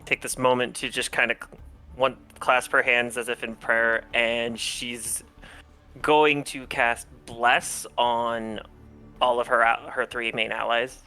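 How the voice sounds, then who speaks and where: A player at a tabletop roleplaying game with a bright, forward voice at a middling pitch. The talk is casual and halting, with self-corrections, over light background music. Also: little pitch movement, clear, resonant tone. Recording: music behind the voice.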